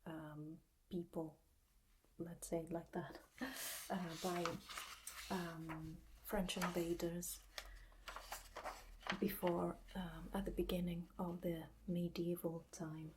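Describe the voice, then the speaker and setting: A woman with an Italian accent giving a gentle whispered ASMR lesson, soft and hesitant with many pauses. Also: on the low side, level tone, noisy background. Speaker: ASMR teacher.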